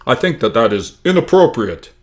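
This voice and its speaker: A man's voice: medium pitch, sing-song, normal speed, voice pushed up, natural voice. A man voicing a carefree, cocky schoolboy joker in a book reading, with a breezy, teasing manner. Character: joking, carefree, cocky schoolboy class president.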